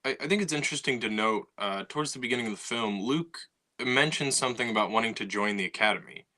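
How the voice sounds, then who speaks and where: A man's voice: mid-range voice, fast talker, pushed and bright. A man co-hosting a podcast, talking casually with many fillers and restarts as he thinks aloud. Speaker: podcast co-host. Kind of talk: casual discussion.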